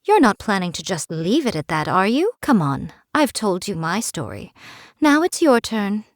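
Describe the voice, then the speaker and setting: A woman's voice: medium pitch, very animated, normal speed, no echo, clean studio sound, close to an everyday voice. A woman voicing a playful, teasing fox spirit in a game, coy and amused as she talks. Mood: sly. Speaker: game character. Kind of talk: game voice lines. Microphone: studio mic, dry.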